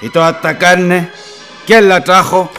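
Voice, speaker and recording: A jealous, suspicious Chilean husband in a radio play. He sounds gruff and demanding, with a fairly high, sharp, forceful voice over faint music. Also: unhurried, exaggerated voice. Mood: angry, suspicious.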